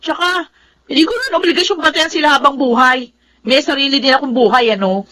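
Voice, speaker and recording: A woman's voice: high voice, fairly quick, lightly acted. A woman playing a selfish, carefree young mistress in a Filipino radio drama. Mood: breezy, dismissive.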